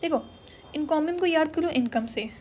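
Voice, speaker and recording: A woman's voice: high-pitched, measured pace, a little mellow, slight background noise. A woman with an Indian English accent teaching English vocabulary in mixed Hindi and English, checking in often with her students. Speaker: English exam tutor. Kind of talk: Hinglish vocabulary lesson.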